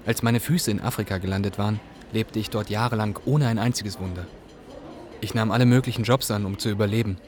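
A man's voice: lowish voice, fast talker, darkish tone, lightly acted. A man acting as a young Iraqi refugee, earnestly narrating his story in a radio play. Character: young male Iraqi refugee telling his hard odyssey.

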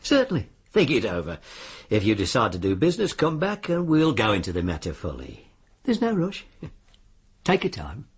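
An actor playing a suave, sly disbarred lawyer in a radio drama, with a British accent and an insinuating, politely formal way of talking. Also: male voice, lowish voice, wildly swooping pitch, moderate pace, slightly rough, heavily acted. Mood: veiled menace. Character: suave, sly disbarred lawyer arranging sinister bets on deaths.

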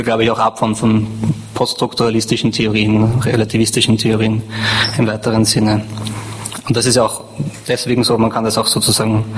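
A man's voice: on the low side, poor audio. A man with a slight cold giving an academic lecture, hesitant and full of fillers. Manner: hesitant, many fillers and restarts.

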